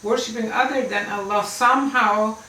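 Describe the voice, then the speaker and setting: A woman's voice: on the high side, fairly even intonation, moderate pace, pushed and bright, a little hiss or hum. A woman in her seventies whose first language is Farsi, earnestly telling her life story as an Islamic lecturer, with the odd small laugh. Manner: earnest, emphatic, with little laughs. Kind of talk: personal storytelling talk. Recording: roomy, distant mic with faint ambience.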